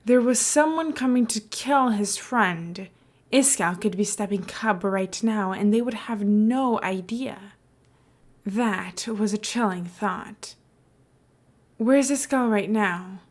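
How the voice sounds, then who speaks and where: A woman's voice: mid-range voice, takes it slowly. A woman reading scripted fiction aloud as an audiobook narrator.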